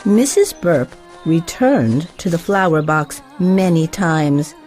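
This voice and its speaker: A woman storyteller reading a scripted children's tale with measured, careful phrasing for young listeners. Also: fairly low pitch, lively intonation, darkish tone, soft background music.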